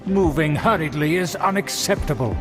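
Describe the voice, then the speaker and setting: A man's voice: a little high, moderate pace, close to an everyday voice. A man playing a haughty aristocrat in a video game, speaking in formal, affected noble diction. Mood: smug, condescending. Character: pompous male aristocrat scheming to restore his clan. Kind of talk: video game character acting. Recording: game music behind the voice.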